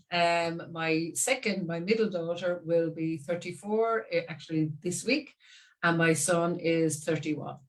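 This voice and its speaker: A woman in her sixties with an Irish accent, a guest chatting about parenting in a lively, laughing way. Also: fairly low pitch, slow, distant mic. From Ireland. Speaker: guest expert. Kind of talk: casual conversational interview.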